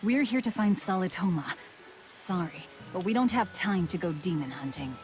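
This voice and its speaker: A woman acts a blunt, determined game heroine. Her voice is on the low side, terse and cool-headed, with faint music behind it. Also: expressive, normal speed, lightly acted. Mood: cold, determined. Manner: terse, no-nonsense. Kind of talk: video game voice acting.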